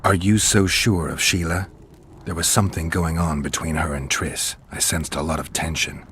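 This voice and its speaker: An actor playing a gruff, stoic monster hunter in a video game, with a gravelly low voice and a terse, deadpan delivery. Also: male voice, moderate pace, a little hiss or hum. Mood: firm, terse.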